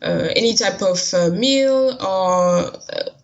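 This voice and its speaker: A woman's voice: mid-range voice, takes it slowly. A woman from Guadeloupe with a French accent, answering interview questions casually and searching for her words. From Guadeloupe, French West Indies. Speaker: interview guest. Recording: dull lo-fi sound.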